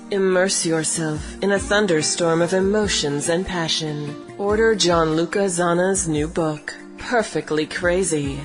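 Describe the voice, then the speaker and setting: Slow sultry female ad voice over music. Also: on the low side. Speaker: ad announcer. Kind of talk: scripted ad read.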